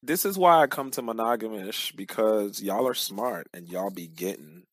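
A man's voice: fairly low pitch, expressive, normal speed, a bit bright, slightly rough, no echo. A man from Cameroon speaking as a podcast guest in casual, reflective talk, with slangy, emphatic storytelling.

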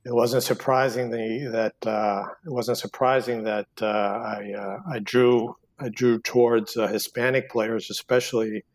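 A man's voice: medium pitch, flat delivery, measured pace, few pauses. A man in his sixties, born in Cuba, talks through a podcast interview as the guest author. He hesitates constantly, filling gaps with small fillers and backing up to restart his sentences.

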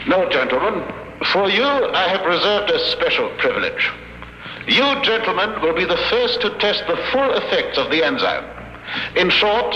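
A man's voice: high-pitched, sharp tone, roomy sound, big-room sound, slight background noise, exaggerated voice, rough recording. An actor playing a cold, gloating male scientist villain in a 1940s-style radio drama, talking in a mocking, formal and precise way.

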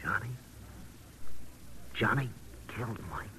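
A man's voice: on the low side, expressive, takes it slowly, sharp tone, heavily acted. A man acting an agitated, defensive friend of a fugitive in a 1940s radio drama, with an edgy manner. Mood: worried. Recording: old radio recording with faint noise.

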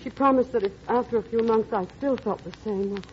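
A woman's voice: on the high side, a little hiss or hum, natural voice. Actress as a wistful, guarded woman in old radio drama. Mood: earnest, hesitant. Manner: halting, hesitant, softly earnest. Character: wistful stepmother with a guarded secret, gentle guardian. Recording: muffled old radio recording, music behind.

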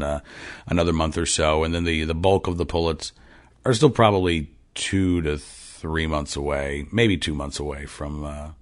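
A man in his forties hosting his own podcast, talking casually with lots of fillers and restarts. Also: deep voice. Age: 40s. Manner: rambling, frequent fillers and restarts. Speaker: podcast host. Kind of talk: casual monologue. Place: farm.

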